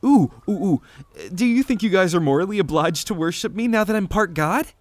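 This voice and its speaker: Man voicing a high, playful young femboy. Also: expressive, a little fast, no echo. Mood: playful, teasing. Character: playful, cheeky young pink-haired demigod femboy. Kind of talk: ASMR roleplay audio drama.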